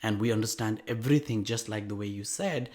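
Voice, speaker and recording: Halting man explaining as a guest expert. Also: lowish voice, darkish tone. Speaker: guest expert, Ayurvedic doctor. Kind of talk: conversational explanation.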